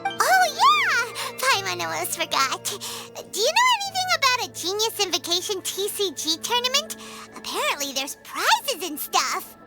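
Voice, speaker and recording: A woman voicing a tiny, excitable, childlike fairy companion in a video game, squeaky and chirpy. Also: moderate pace, loud music behind the voice, full character voice. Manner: chirpy, speaks of self in third person. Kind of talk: video game character dialogue.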